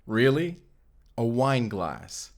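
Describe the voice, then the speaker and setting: A man's voice: medium pitch, big pitch swings, takes it slowly. A man playing a sullen, hot-tempered vampire slayer with a grudging, sardonic manner in a cinematic audio roleplay. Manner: grudging, sardonic, easily flustered.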